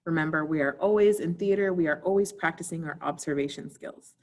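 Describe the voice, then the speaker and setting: A woman's voice: low-pitched, fairly quick, darkish tone. A woman theater teacher giving an informal recorded video lesson, instructing students directly and putting questions to them.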